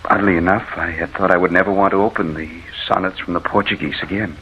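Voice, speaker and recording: An actor playing a grave, courteous doctor in 1940s radio drama, speaking formally and deliberately. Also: male voice, low voice, steady tone, husky. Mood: solemn, formal. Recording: muffled, hissy 1940s radio recording.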